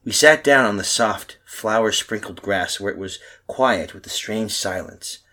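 An actor playing a frightened husband lost in time, in melodramatic old-time radio horror style with intense, urgent delivery. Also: male voice, lowish voice, unhurried, pushed and bright. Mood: haunted, dread. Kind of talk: old-time radio horror acting, first-person narration and dialogue.

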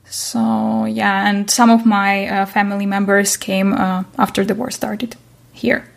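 A woman's voice: mid-range voice, little pitch movement, a little slow, creaky. A young woman from Ukraine with a Ukrainian accent, speaking non-native English hesitantly as an interview guest. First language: not English. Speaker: interview guest, chess coach. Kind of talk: casual interview chat.

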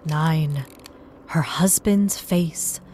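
A woman playing a sober narrator who catalogues photographs in measured, clipped phrases for an audio drama. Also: sits low, warm tone, slight background noise. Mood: somber, measured.

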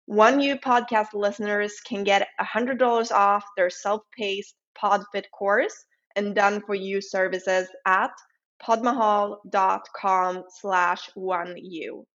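A woman's voice: mid-range voice, steady tone, takes it slowly, fairly bright tone, lo-fi sound. A woman with a Swedish accent, a non-native English speaker, reading a scripted podcast intro and sponsor message as the host. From Sweden.

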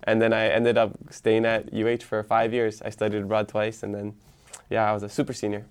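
A man's voice: lowish voice, completely flat delivery, a little fast, smooth. A young man from Island, a Hawaiian speaker, telling his story easily as an interview guest and laughing often. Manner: easygoing, laughs often, rambling restarts. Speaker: podcast host as guest.

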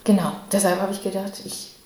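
Woman podcast host, mellow voice, echoey room. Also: medium pitch, level tone, fairly quick. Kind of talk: conversational podcast interview. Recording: echoey room with faint background noise.

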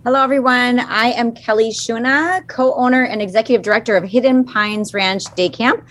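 A woman's voice: fairly high pitch, normal speed, fairly bright tone. A woman with a Minnesotan accent co-hosting a podcast in a casual, friendly, self-teasing way. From Minnesota, USA. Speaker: podcast co-host.

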